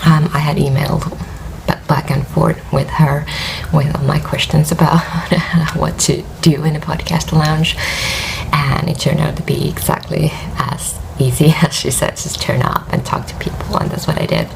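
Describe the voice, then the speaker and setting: Woman, Finnish accent, low and croaky, worn out, halting with fillers, audible background noise. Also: steady tone. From Finland. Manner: hesitant, rambling with many fillers and soft laughs. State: tired, voice worn. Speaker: knitting podcast host. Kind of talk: casual vlog chat.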